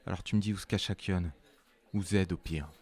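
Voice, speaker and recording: Actor as a low-voiced, threatening army captain. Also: male voice, fairly even intonation, a little fast, darkish tone. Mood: firm, threatening. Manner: authoritative, blunt, colloquial, threatening. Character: strong, weary military captain of noble authority, pressuring an informant. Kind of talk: acted dramatic dialogue.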